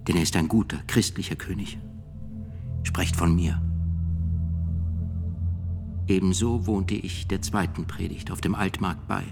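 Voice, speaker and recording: Ein Schauspieler spielt in einem Hörspiel einen mittelalterlichen Priester, der ernst und gemessen als Augenzeuge aussagt. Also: male voice, low voice. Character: earnest medieval priest testifying about a burning he witnessed. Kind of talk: radio play testimony.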